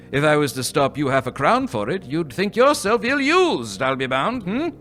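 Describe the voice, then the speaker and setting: A man playing a grumbling, miserly old moneylender in a live radio play, gruff and scoffing. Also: a little high, big pitch swings, normal speed, a bit bright, soft background music. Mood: grudging. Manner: gruff, scoffing, snapping out bits.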